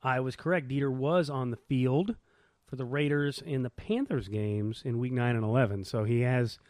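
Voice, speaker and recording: A man's voice: medium pitch, fairly even intonation, moderate pace, a little mellow, no room sound, clean studio sound. A man co-hosting a podcast, telling things informally and colloquially in casual sports banter. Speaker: podcast co-host.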